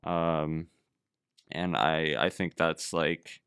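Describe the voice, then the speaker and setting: A man's voice: rumbling low, steady tone, very slow, gravelly, fairly bright tone, clean tone, dry recording, clean studio sound. A man hosting a casual conversation, talking reflectively and rambling with lots of "like". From Canada. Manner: reflective, rambling, heavy use of "like".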